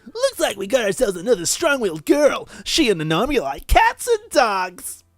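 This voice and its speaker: A British man with a high, lively voice chats and jokes through a let's play. He is sweary and casual. The sound is dry, with faint music behind. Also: normal speed, dry recording. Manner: jokey, sweary, reads game text aloud. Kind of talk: joking casual game commentary.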